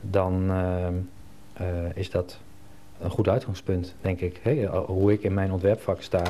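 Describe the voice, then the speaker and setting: A Dutch man with a low, warm, dark voice. He talks casually and hesitantly in an interview, over a faint hum. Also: little pitch movement, unhurried. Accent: Netherlands Dutch. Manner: hesitant, many long hesitations. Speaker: interior designer being interviewed. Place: indoor design studio.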